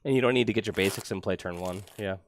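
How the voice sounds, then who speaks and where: A man's voice: lowish voice, fast. A man hosting a stream, talking casually and matter-of-factly while playing a card game. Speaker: streamer host.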